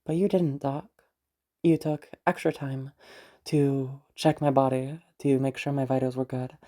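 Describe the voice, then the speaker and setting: A woman playing a teasing, flirtatious, unhinged patient in a roleplay audio drama, rambling hesitantly with many pauses and hums. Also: a bass voice, steady tone, dark tone. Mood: wistful confession. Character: teasing, rambling, flirtatious crazy female patient confessing to her doctor. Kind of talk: roleplay audio drama, intimate one-sided conversation.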